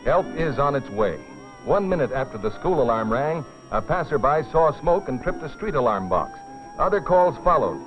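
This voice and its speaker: A man narrating a dramatized film in a grave, deliberate scripted style with dramatic pauses. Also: medium pitch, big pitch swings. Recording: dull old film soundtrack, music behind. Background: dramatic music.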